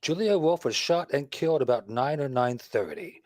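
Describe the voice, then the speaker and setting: Radio play: flippant, easygoing husband and reluctant sleuth female actor, low register, very lively intonation. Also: moderate pace, no echo. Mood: matter-of-fact. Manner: dry, teasing banter. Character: Nick, flippant, easygoing married man, reluctant detective. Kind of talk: vintage radio drama acting.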